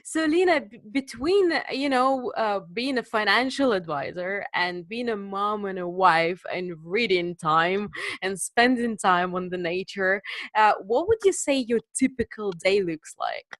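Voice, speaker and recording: A woman's voice: medium pitch, lively intonation, a little slow, fairly bright tone, no room sound. A woman hosting a conversational business podcast, putting questions to a guest. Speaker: podcast host and interviewer.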